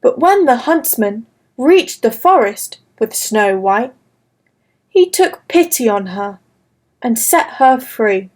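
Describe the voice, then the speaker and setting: Woman reading a kids fairy tale, high voice, real slow and clear. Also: big pitch swings, darkish tone. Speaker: storyteller. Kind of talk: scripted children's story narration.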